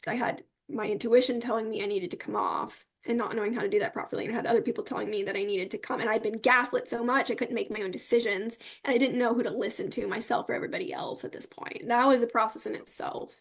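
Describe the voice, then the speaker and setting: A woman's voice: fairly high pitch, fast, gravelly, few pauses. A woman speaking as an interview guest, telling her personal story in a candid, emphatic way.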